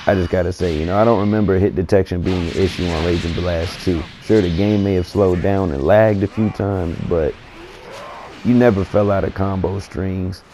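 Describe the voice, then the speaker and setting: A man getting over a cold, his voice scratchy and gravelly, giving laid-back, opinionated commentary on a video game. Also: low voice. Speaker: gaming commentator. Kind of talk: casual gaming commentary.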